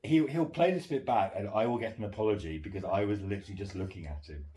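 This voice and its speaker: A man co-hosting a casual home podcast, teasing with quick interjections. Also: fairly low pitch, dark tone. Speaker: podcast co-host. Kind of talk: casual chat.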